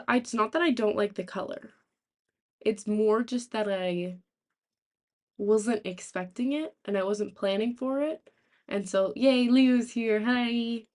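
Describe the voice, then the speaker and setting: A young woman with a raspy, sore-throated voice, chatting casually to live stream viewers in a halting, rambling way. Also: medium pitch. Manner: halting, rambling, thinking aloud. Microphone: laptop, without usual microphone.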